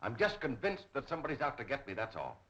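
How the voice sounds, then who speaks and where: A man's voice: mid-range voice, wildly swooping pitch, quick pace, a bit bright. An actor playing an anxious, agitated businessman in a 1930s film, with a clipped way of talking. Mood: nervous. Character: anxious, hurried businessman who fears for his life. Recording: muffled, noisy 1930s film soundtrack.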